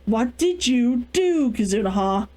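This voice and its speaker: Woman reader as exasperated, accusing elder sister, storybook, swooping intonation, fairly high. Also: animated, unhurried, a little mellow. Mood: angry, accusing. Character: angry, domineering elder sister, head of household.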